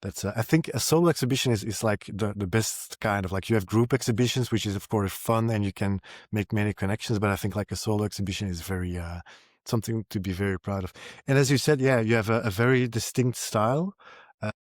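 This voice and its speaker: A man's voice: fairly low pitch, fast talker, dry recording. Hesitant man hosting, in a dry sound. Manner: hesitant, rambling, repeats words. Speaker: podcast host. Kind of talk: casual interview introduction and chat.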